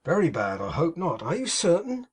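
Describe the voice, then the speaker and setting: A man voicing a frail, anxious old gentleman in an audiobook, with a husky, fretful voice. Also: on the high side, wildly swooping pitch, moderate pace, voice pushed up, heavily acted. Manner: fretful, trembling.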